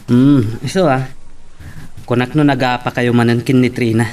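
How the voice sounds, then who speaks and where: A man's voice: medium pitch, fairly even intonation, normal speed, a little mellow, natural voice. A man playing a teasing young buddy in a radio-style drama. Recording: distant mic with faint background noise.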